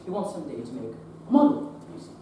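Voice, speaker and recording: A man's voice: medium pitch, wildly swooping pitch, quick pace, background noise. A teen boy playing an awkward, earnest Victorian doctor who talks in a stammering, fussy way on a theatre stage. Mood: formal. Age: teens. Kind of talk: teen stage play performance. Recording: echoey stage from far off.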